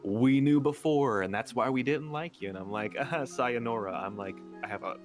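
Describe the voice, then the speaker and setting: A man's voice: mid-range voice, normal speed. A young man chatting casually as a stream guest, telling personal stories in a candid, hesitant, self-deprecating way. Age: young adult. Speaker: ASMR creator and stream guest. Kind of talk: casual chat, personal anecdotes. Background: background music.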